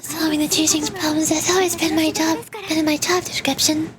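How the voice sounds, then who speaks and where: A woman reading the part of a calm, dutiful young secretary in a polite, composed manner. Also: high voice, fairly quick, natural voice. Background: game music.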